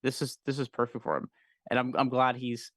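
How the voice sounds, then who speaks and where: A man's voice: mid-range voice, level tone, brisk, a bit bright, dry recording, studio quality. A young man co-hosting a livestream, chatting casually and enthusiastically about films with informal slang and some swearing. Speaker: livestream co-host.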